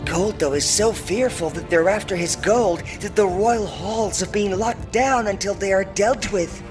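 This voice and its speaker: Game messenger: high-pitched, anxious, declamatory reports, music playing behind. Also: male voice, unhurried. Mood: worried. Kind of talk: fantasy game voice acting.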